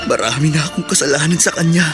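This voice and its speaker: A man's voice: fairly high pitch, fast, close to an everyday voice. A Filipino man playing a troubled, stubborn young son in a radio drama. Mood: remorseful, vowing. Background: music.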